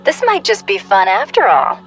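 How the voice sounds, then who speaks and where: Female game assassin, lively pitch, playful and competitive, music underneath. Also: normal speed.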